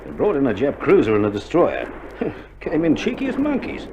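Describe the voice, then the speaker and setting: An actor playing a weary, dry-humoured Australian army doctor in a wartime radio drama, speaking in a colloquial, matter-of-fact way. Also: male voice, mid-range voice, wildly swooping pitch, moderate pace. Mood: agitated. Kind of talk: recorded radio drama acting. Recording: dull vintage vinyl recording, loud noise.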